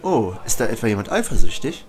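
A man playing a smooth, courteous, flirtatious newspaper reporter in an amateur German audio drama. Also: lowish voice, big pitch swings, quick pace, faint music behind. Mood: teasing. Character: suave, flirtatious male newspaper reporter who narrates his story. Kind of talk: German amateur audio drama, dialogue and first-person narration. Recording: clearly noisy home recording.

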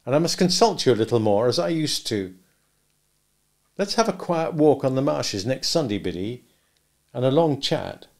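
A man's voice: medium pitch, sing-song, moderate pace. A man voicing a restless, discontented young village man who speaks earnestly and impatiently.

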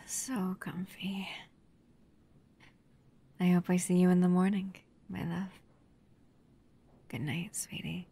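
Protective human lover played by a woman for ASMR roleplay: low, very slow, scolding yet soft and whispery. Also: flat delivery, exaggerated voice. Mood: soft, affectionate. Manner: soft, breathy near-whispers mixed with stern scolding.

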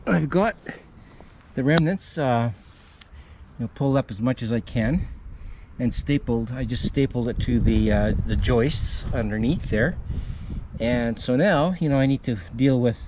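A man with a dark, relaxed, mid-pitched voice walks through a DIY repair in a casual, folksy, halting way. He is recorded outdoors on an action camera, with faint noise behind him. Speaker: host of DIY renovation channel. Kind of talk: casual hands-on repair tutorial. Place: outdoors under a raised mobile home.

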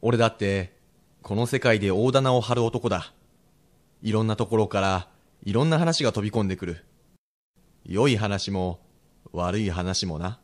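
A man playing a frank, steely Edo-era merchant husband with rough old townsman speech in a radio drama. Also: on the low side, moderate pace, long pauses, close to an everyday voice. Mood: persuading. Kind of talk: period radio drama acting.

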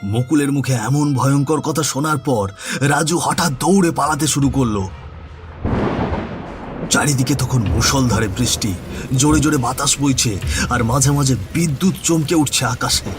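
A man's voice: medium pitch, moderate pace, music in the background. A man narrating a scripted horror story in a dramatic storytelling style.

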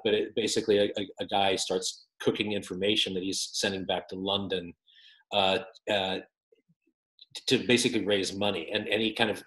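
A man in his fifties, an author guest, answering interview questions on a video call with many restarts and fillers. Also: on the low side. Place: home room with windows. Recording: roomy echoey call audio.

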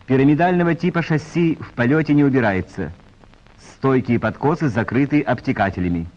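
A male narrator heard through a dull, muffled old film soundtrack. He reads technical script in the formal manner of a 1940s announcer. His delivery is measured, at a middling pitch. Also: darkish tone, slight background noise. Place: voice-over recorded for a film.

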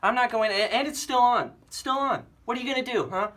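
A man's voice: high voice, expressive, normal speed, sharp tone. A man in his twenties playing a cocky, defiant radio DJ who argues and taunts in an amateur skit. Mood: angry, defiant. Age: 20s. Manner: taunting, argumentative. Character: defiant young radio DJ being fired, cocky, mouthy. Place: small radio studio.

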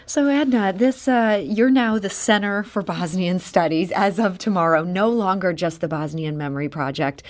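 A woman hosts a public radio talk show, her voice a touch lower than most. She asks questions with a lively, rising lilt and reacts with quick murmurs of interest. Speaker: radio host.

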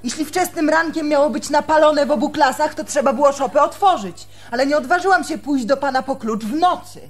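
High-voiced, earnest young fiancée played by a woman. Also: a little fast, soft background music, natural voice. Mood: apologetic, earnest. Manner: apologetic, explaining earnestly. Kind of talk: Polish radio drama acting.

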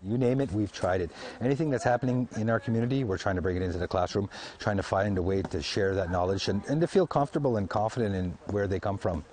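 A man's voice: lowish voice, quick pace, few pauses. A man, an outdoor educator, talking outside in an encouraging, casual way. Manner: animated, encouraging. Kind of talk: casual instruction. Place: outdoors in a clearing.

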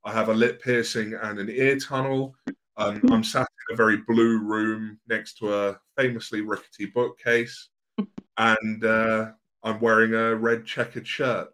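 A man's voice: on the low side, level tone, measured pace, a bit bright, poor audio. A man in his mid-thirties hosting a podcast, introducing a guest and asking questions in a friendly, slightly hesitant way with ums and uhs. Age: 30s. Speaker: podcast host. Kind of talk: casual podcast interview.

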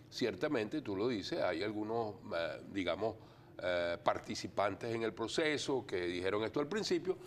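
An older man from Venezuela, a TV studio guest talking earnestly and deliberately, with many fillers. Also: on the low side, a little hiss or hum. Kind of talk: TV studio interview.

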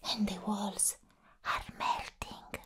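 A young woman playing a mad, playful villainess in a breathy, whispered ASMR roleplay, teasing and menacing. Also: medium pitch, exaggerated voice. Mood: giddy, dreamy. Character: mad, playful female comic-book villain, giddy and menacing.

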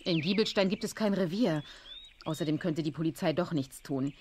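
Woman acting a brisk, wry aunt, noisy background. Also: sits low, a little mellow, lightly acted. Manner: urgent, dry, commanding. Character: brisk, wry adult aunt, tea-shop owner. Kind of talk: German radio play acting.

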